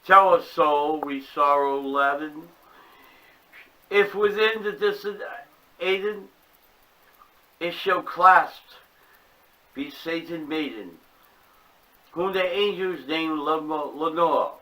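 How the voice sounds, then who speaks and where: Slow, dramatic reading man, distant mic. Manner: dramatic, swinging from loud declaiming to hushed low tones. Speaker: channel host reading a poem. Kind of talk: theatrical poetry reading. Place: small home room set up as a paranormal museum. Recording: distant mic with faint music behind.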